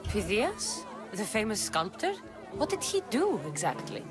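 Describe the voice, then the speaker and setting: Casting: hardened, sardonic Greek mercenary woman for a game, mid-pitched, terse, music and crowd behind. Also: huge pitch swings, a little slow. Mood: curt. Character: tough, blunt, wry female mercenary of ancient Greece. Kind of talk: video game voice acting.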